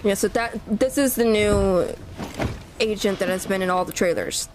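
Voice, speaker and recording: A woman's voice: medium pitch, moderate pace, noisy background. A woman giving casual reaction commentary as a gaming host.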